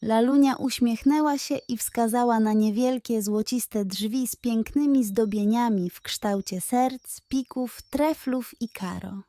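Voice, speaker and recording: A woman reads a children's bedtime story with gentle, careful expression. Her voice is mellow and slightly high, paced very slowly, and the sound is close and dry. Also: no echo.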